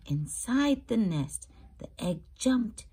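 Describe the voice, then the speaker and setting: A woman reads a children's storybook aloud as host. Her high, breathy voice moves slowly, and she explains things simply to young listeners. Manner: expressive, explains and asks questions of young listeners. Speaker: storybook reader and channel host.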